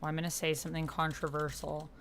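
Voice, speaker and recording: A woman's voice: low-pitched, little pitch movement, fast, a bit bright, a little hiss or hum. A woman hosting a roleplay livestream, chatting casually, muttering to herself and reading typed posts aloud. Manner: chatty, muttering to self while typing. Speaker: host of a live tabletop roleplay stream. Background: computer keyboard typing.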